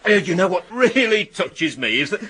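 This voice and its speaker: Radio drama dinner guest: effusive tax inspector, highish bright voice, hearty delivery. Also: male voice, very animated, a little hiss or hum, heavily acted. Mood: jovial, grateful. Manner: hearty, deliberate.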